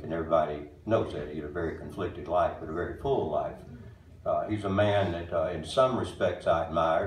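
Deep-voiced man in his eighties. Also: darkish tone, a little hiss or hum. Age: 80s. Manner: folksy, plainspoken, halting with many uhs. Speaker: bandleader introducing a tribute show. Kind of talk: casual stage talk to an audience. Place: small wooden-walled music barn with audience. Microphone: far camera recording a stage mic. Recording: roomy hall sound from far off.

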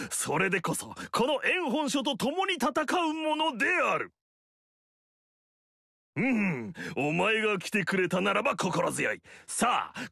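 A pompous vain noble warlord. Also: male voice, very high-pitched, creaky, bright, forceful tone, slightly rough, dry recording, heavily acted. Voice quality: haughty, pompous. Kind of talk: video game voice lines. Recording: dry studio recording.

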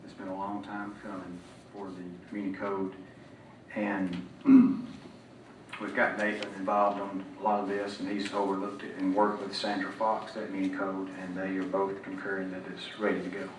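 A man's voice: sits low, monotone, moderate pace. A man chairing a city council meeting in a businesslike, orderly way. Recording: echoey room, distant mic, background hum.

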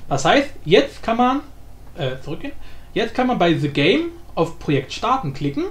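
A man giving a casual software tutorial in an informal, blunt, rambling way. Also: high-pitched, sing-song, normal speed. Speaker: tutorial presenter. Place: indoor room, voice-over for screen recording. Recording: roomy echo, light background noise.

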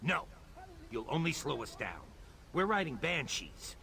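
An actor playing an intense rebel scientist in a video game, a defiant mentor with a gravelly voice. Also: male voice, fairly high pitch, sing-song, measured pace, bright, forceful tone, a little hiss or hum, full character voice. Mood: grave resolve. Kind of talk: dramatic video game dialogue.

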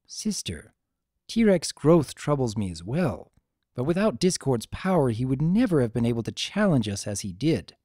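A man's voice: medium pitch, very animated, normal speed, warm tone, dry recording, studio quality. A man voicing a proud, formal pony princess, a concerned younger sister with archaic, emphatic speech in a dramatic story reading.

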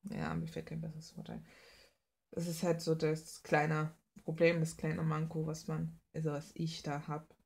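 A young woman with a slightly low voice chats casually while she works at home. She rambles in an informal, self-deprecating way and throws in mildly crude words. It sounds like an unscripted art vlog. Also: completely flat delivery, a little slow, gravelly, darkish tone. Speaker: hobby artist and video host. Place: small cluttered room at home, indoors.

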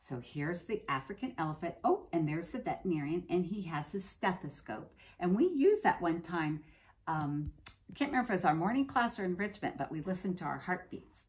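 Lively, low-pitched American woman reading to children. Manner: simple explanations, addressing children. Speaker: preschool teacher. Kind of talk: reading a picture book aloud to young children, with explanatory asides. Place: indoors, classroom.

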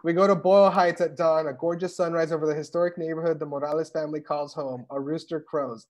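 A man's voice: on the high side, steady tone, fast, darkish tone. A man narrating a script at a table read, reading the stage directions aloud over a video call. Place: indoors at home on a video call. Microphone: video call microphone.

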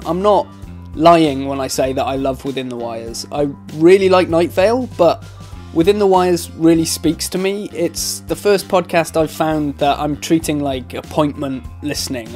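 A man's voice: fairly high pitch, a little mellow. A man hosting a podcast, speaking solo in a conversational outro style. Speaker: podcast host. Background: guitar music.

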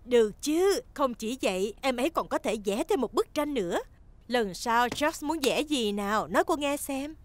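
A woman voicing a kindly, encouraging gazelle schoolteacher in a dubbed preschool cartoon, talking gently to small children. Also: fairly high pitch, animated, moderate pace, natural voice.

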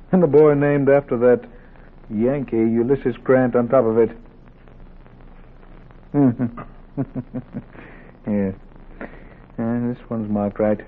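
An actor playing a gruff, grieving, weary middle-aged doctor in a 1940s radio drama, with a gravelly, husky voice. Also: male voice, medium pitch, fairly even intonation, slow pace, pauses often, close to an everyday voice. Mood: weary, amused. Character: gruff, grieving older Southern doctor and surgery teacher. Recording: tinny old 1940s radio recording.

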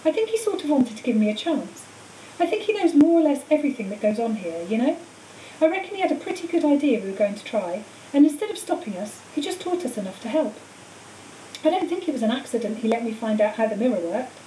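Storybook part: woman as gentle determined boy, earnest, higher-pitched and soft-toned, slightly hissy recording. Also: very animated, quick pace. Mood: thoughtful. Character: earnest, thoughtful schoolboy, gentle but determined. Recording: hissy, roomy, distant microphone.

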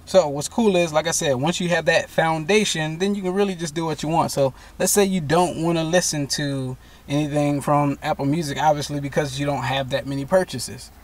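A man's voice: on the high side, steady tone, a little fast. A man in his thirties hosting a tech channel, patiently walking viewers step by step through a fix while sitting in a parked car. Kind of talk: casual how-to walkthrough. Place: inside a parked car, daytime.

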